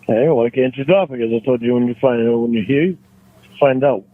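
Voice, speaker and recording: A man on a phone call, arguing defensively in a halting, repetitive way. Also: mid-range voice, dry recording. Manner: halting, repeats himself, defensive. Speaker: phone caller. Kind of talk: argumentative private phone call. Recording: tinny, narrow phone line.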